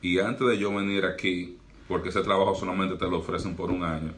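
A man's voice: low voice, fairly even intonation, fairly quick, a bit bright, slight background noise. A young man from the Republic, a doctor and pastor telling his life story earnestly in a podcast interview. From Dominican Republic. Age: young adult. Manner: earnest, reflective, uses fillers like eh.

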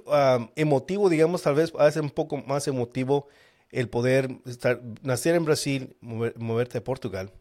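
A man's voice: mid-range voice, a little mellow. Man hosting an interview, winding questions. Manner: conversational, long winding questions. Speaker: podcast host. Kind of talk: casual interview.

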